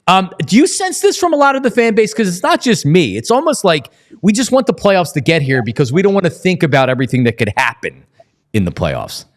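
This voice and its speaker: A man's voice: high-pitched, big pitch swings, a little fast. A man hosting a casual sports talk interview, talking in wordy, rambling questions with false starts. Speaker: sports show host.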